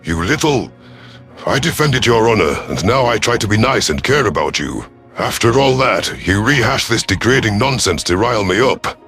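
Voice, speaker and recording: A man voicing a proud, gruff reptilian predator commander who narrates a sci-fi story in the first person, stern and formal. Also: sits low, lively intonation, normal speed, a bit bright, faint music behind. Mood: exasperated. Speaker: narrator in character.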